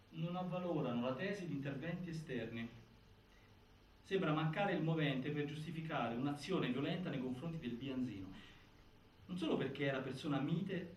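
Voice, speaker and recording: A man performing as a solemn theatre narrator, reciting a case file in formal, deliberate, declamatory phrases. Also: fairly high pitch, close to an everyday voice. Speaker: narrator in a stage inquiry play. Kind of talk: scripted theatrical documentary narration. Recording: echoey, distant, faint noise.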